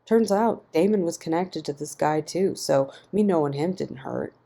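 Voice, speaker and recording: A man's voice: fairly high pitch, normal speed, gravelly. A woman voicing an angry, resentful, foul-mouthed man who snaps and swears in a narrated story. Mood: defiant anger. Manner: snapping, profane, forceful.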